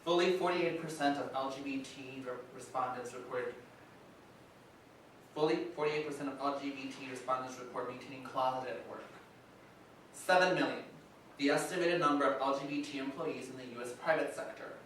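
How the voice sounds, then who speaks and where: An actor as a formal male narrator presenting facts on stage. His mid-pitched voice carries from afar through a very echoey hall. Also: brisk, a bit bright, lightly acted. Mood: factual. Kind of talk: formal reading of statistics. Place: stage in a reverberant room, recorded from afar. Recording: echoey hall, far mic, faint noise.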